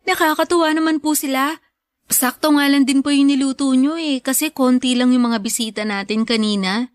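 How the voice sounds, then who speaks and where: A woman's voice: high voice, brisk, no room sound, close to an everyday voice. A woman playing a devoted, respectful young Filipino graduate in a radio drama, speaking sweetly and politely to a parent. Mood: grateful, concerned.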